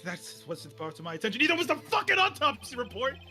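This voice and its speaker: High pitched guy goofing around on a fandub, crude jokes, super animated, music behind. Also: quick pace. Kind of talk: casual fandub banter. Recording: music behind, sometimes off mic.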